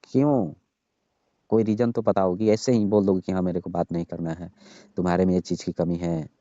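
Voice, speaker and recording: Deep, very dark-voiced pleading young man. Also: little pitch movement, brisk, no echo. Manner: pleading, insistent. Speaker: caller, jilted lover. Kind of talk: casual emotional phone conversation. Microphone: phone call. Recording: dull lo-fi phone call recording.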